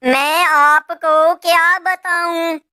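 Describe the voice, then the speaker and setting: A worried, soft-hearted mother crow from a cartoon fable , played by a woman. Her voice is high and bright. She talks very slowly and dutifully, as a caring wife. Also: fairly even intonation, dry recording, studio quality, exaggerated voice. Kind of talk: Hindi cartoon moral story dubbing.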